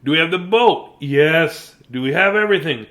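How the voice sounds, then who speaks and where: A man voicing a brisk, exasperated father from a picture book, speaking emphatically. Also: on the high side, lively intonation, a bit bright.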